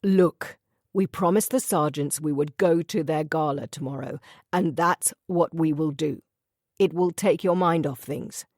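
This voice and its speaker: A woman's voice: low-pitched, unhurried, darkish tone, no echo, studio quality. A woman reading an audiobook, voicing a grieving, stubborn man who speaks in tight, clipped phrases. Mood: firm. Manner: tight, clipped, holding back emotion.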